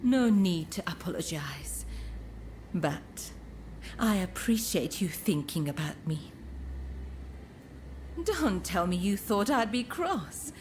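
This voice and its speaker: An actress playing an elegant, teasing courtesan in a video game cutscene, with refined, coquettish phrasing. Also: female voice, lowish voice, big pitch swings, slow pace, pauses often, darkish tone, a little hiss or hum. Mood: teasing, grateful. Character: elegant, coy, teasing courtesan woman with powerful connections.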